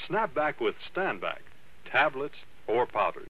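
A man's voice: medium pitch, big pitch swings, unhurried, soft background music. An American man reading a scripted mid-century radio advertisement. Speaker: commercial announcer. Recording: dull vintage radio recording.

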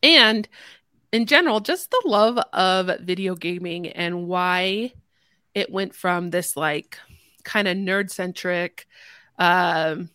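Bright-voiced woman podcast host. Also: fairly low pitch, takes it slowly, clean tone, no room sound, studio quality. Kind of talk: casual podcast chat.

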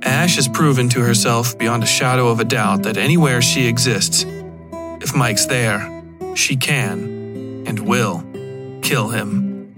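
A man narrating scripted dark fiction as a podcast host, with music behind him. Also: mid-range voice, slow.

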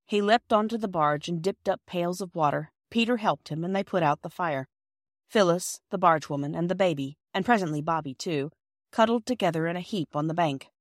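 A woman's voice: fairly low pitch, fairly quick, no echo, clean studio sound. A woman narrating a children's storybook from a script. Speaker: audiobook narrator.

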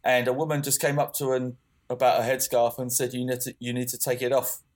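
A man's voice: mid-range voice, little pitch movement, quick pace. A young man co-hosting a podcast, talking casually with lots of fillers and restarts. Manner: rambling, many fillers and restarts. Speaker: podcast co-host. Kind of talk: casual chat.

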